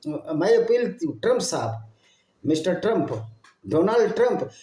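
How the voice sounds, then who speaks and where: A man with an Indian English accent and a fairly high but mellow voice delivers a fervent monologue straight to his viewers. His English is halting, and he restarts and repeats phrases as he pushes on. The sound carries a roomy echo. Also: expressive. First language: Hindi. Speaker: channel host. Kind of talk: impassioned solo monologue to viewers.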